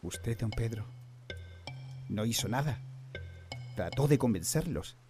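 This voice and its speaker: Formal, mid-pitched colonial navy captain. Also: male voice, lively intonation, over music. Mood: reproachful. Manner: formal, measured, official. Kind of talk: radio drama acting.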